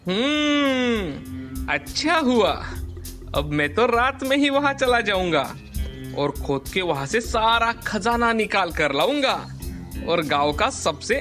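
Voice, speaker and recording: Man acting high, gloating greedy cowherd. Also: big pitch swings, takes it slowly, a bit bright, soft background music, full character voice. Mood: gloating greed. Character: greedy, arrogant cowherd scheming for treasure. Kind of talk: scripted moral story villain acting.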